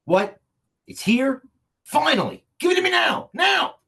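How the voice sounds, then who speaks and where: A man's voice: very high-pitched, very animated, unhurried, vocal fry, bright, forceful tone, raised voice, exaggerated voice. A man playing a foul-mouthed, domineering crime boss who rages with megalomania in a comedy table read. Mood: gloating. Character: raging megalomaniac male crime boss, obsessed with destroying humor.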